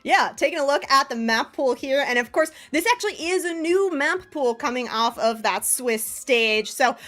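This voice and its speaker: Upbeat woman with very high voice. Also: animated, normal speed, fairly bright tone, faint music behind. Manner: upbeat, chatty, addressing viewers. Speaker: esports broadcast host and caster. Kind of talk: casual live esports presenting.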